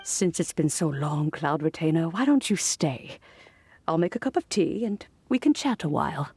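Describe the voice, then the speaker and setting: A woman playing a gentle, wistful old granny in a video game, soft-spoken and measured, with formal old-fashioned phrasing. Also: low-pitched, expressive, slightly rough, no room sound, faint music behind, full character voice. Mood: warmly amused.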